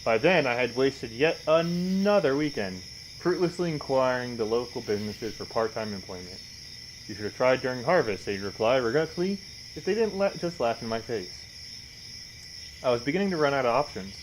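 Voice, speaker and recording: A man's voice: medium pitch, animated, normal speed, noisy background. A man casually commenting on a game and reading its text aloud, with wry, sarcastic reactions. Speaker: video game streamer.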